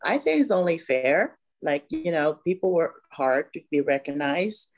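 A woman's voice: low voice, expressive, a little slow. A woman in her fifties, from Vietnam, talking casually over a laptop microphone on a video call. She speaks hesitantly, stopping often for "you know" and restarting her sentences. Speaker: guest. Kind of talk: casual interview chat. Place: home room by a bright window.

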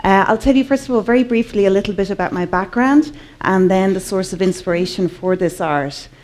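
A woman's voice: medium pitch, a little mellow. Earnest Irish woman at a conference. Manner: earnest, measured, slowing on key words. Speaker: conference speaker, artist. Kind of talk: personal talk to a live audience. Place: large conference hall, indoors. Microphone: headset mic.

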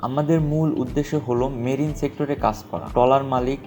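A man's voice: medium pitch, fairly even intonation, quick pace. A man with a Bangladeshi accent, reading a scripted travel vlog narration as a presenter and sales representative. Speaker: travel vlogger and marine equipment sales representative. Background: music.

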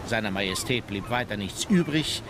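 Actor as a dry officer, lively melody. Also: male voice, on the low side, normal speed, noisy background, natural voice. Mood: resentful. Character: dry military officer keeping a private diary. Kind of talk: historical radio play, diary narration.

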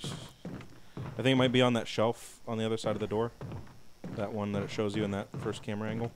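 Low-voiced man giving game directions. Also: flat delivery, fairly quick, background noise. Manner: bossy, teasing, gives directions. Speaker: let's play co-host guiding the player. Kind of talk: casual gameplay chat.

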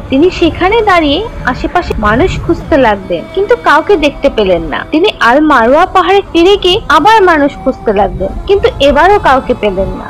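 A woman's voice: high-pitched, low-quality recording. A woman storyteller giving scripted narration for children's religious cartoon stories.

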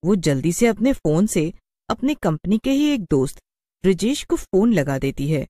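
A woman's voice: fairly low pitch, big pitch swings, a little slow, dark tone, no room sound. Woman narrator, dry studio sound. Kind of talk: scripted story narration.

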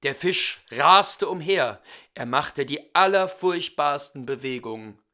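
A man reading a fairy tale aloud as a storyteller, with deliberate, theatrical and expressive delivery. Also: a little high.

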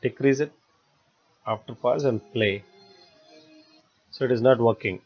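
Dull-sounding man teaching software. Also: mid-range voice, measured pace, breathy edge. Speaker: software tutorial instructor. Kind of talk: step-by-step screencast tutorial narration. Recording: dull lo-fi voice-over recording.

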